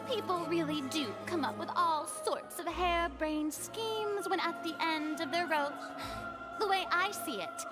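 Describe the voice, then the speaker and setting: Theatrical young female deity, extremely high voice, slow grand delivery, pompous courtroom showmanship, video game character. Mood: dismissive. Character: theatrical, haughty young female hydro archon presiding over a trial. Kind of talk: dramatic video game voice acting.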